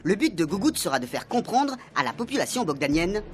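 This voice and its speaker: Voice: medium pitch, big pitch swings, fairly quick. A narrator reading a scripted, dramatic recap for a comic radio serial. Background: faint music.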